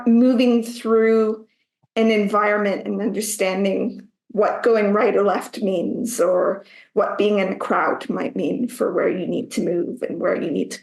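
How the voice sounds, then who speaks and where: A woman in her thirties with a Canadian accent answers interview questions at a middle pitch. She explains things carefully, pausing to find her words. Her voice carries a noticeable room echo. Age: 30s. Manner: measured, explains carefully with some hesitations. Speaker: guest, writer and public speaker.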